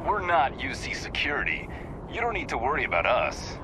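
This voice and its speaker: A confident game marine who leads a squad. His delivery is clipped and assured, with lively pitch. Battle noise sits behind him. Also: brisk. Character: confident, steady male military fireteam leader. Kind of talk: video game voice acting.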